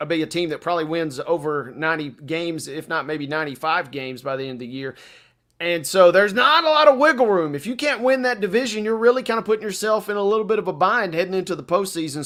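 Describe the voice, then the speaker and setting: A man's voice: fairly high pitch, fairly bright tone. A man co-hosting a sports talk show, giving conversational analysis in informal, colloquial phrasing.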